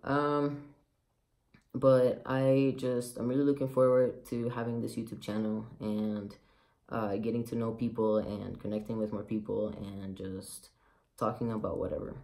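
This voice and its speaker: A man's voice: lowish voice, little pitch movement, measured pace, creaky, dark tone. A man of about twenty, raised in Mexico, with a light Mexican Spanish accent, talking candidly and rambling with many fillers in a casual personal vlog. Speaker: vlogger.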